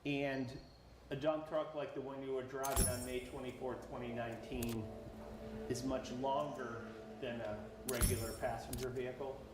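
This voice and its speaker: A man's voice: mid-range voice, little pitch movement, normal speed. A man working as an attorney, methodically asking repetitive leading questions in a deposition. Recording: roomy, distant, noisy deposition recording.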